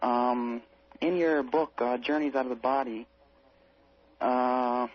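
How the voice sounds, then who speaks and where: A man phoning in to a radio show to ask a question, speaking carefully and haltingly. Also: medium pitch, steady tone. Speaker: radio phone-in caller. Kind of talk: asking a question on a call-in show. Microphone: telephone. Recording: muffled lo-fi phone call on radio.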